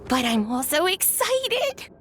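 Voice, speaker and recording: A little game dragon, with a small, very high childlike voice. It speaks earnestly and eagerly, with faint ambience behind it. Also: female voice, very animated, slow, background noise, exaggerated voice. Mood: excited, hopeful. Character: small young dragon, shy but eager and naive. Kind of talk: video game voice acting.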